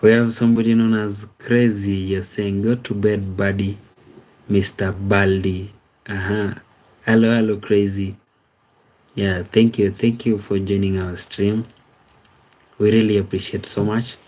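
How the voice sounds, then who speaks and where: A man's voice: lowish voice, level tone, a little slow, a little mellow. A man from Kenya with a Kenyan accent, hosting a casual live stream, reading viewers' comments aloud and thanking them by name. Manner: easygoing, repeats words like "amazing, amazing". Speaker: livestream host.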